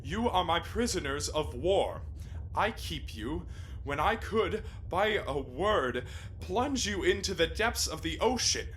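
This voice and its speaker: A man acting a proud, commanding submarine captain in a radio play, speaking in a formal, measured, imperious way. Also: on the high side, sing-song, fairly bright tone, exaggerated voice, rough recording. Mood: rising anger. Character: proud, commanding submarine captain who has renounced society. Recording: faint background noise.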